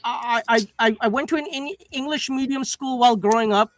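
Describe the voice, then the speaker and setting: A man bantering casually on a livestream panel, loud, combative and full of swearing. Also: very high voice, a little fast, dry recording. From born in South Asia, moved to Canada at nine.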